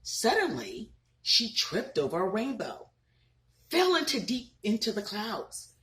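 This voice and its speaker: A woman in her thirties reading a children's picture book aloud, pausing to ask the listeners questions. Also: mid-range voice, a little hiss or hum. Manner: expressive, asks listeners questions.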